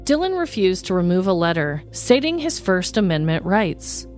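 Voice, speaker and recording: A woman narrates a scripted true-crime tale for comedy, as a podcast host. Her voice sits fairly low. Music plays underneath. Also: sing-song, normal speed.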